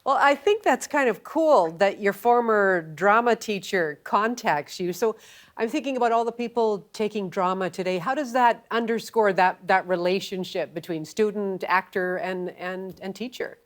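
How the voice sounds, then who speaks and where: A woman's voice: medium pitch, moderate pace. Woman hosting a broadcast interview. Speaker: television host and interviewer.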